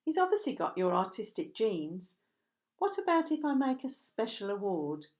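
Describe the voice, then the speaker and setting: A woman's voice: medium pitch, sing-song, normal speed, darkish tone, natural voice. A woman voicing a curious, persuasive man who judges art, in a storybook reading. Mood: insistent. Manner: questioning, persuasive.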